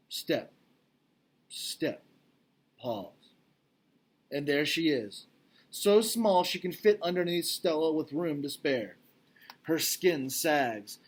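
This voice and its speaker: Male storybook reader, slightly high register, reading aloud for children. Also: slightly rough. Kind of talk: storybook narration.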